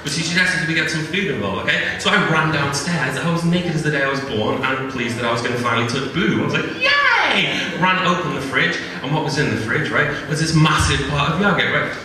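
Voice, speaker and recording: A man's voice: fairly high pitch, fast, few pauses, crowd noise. A young man with a Liverpool accent doing a chatty, self-deprecating stand-up set, tagging lines with "right?". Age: young adult. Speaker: stand-up comedian. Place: comedy venue with a live audience. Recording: echoey room, distant mic, audience laughter.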